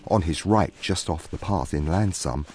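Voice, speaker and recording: A man's voice: low voice, moderate pace, darkish tone, slightly rough. A man narrating a scripted fantasy story in a theatrical storybook manner.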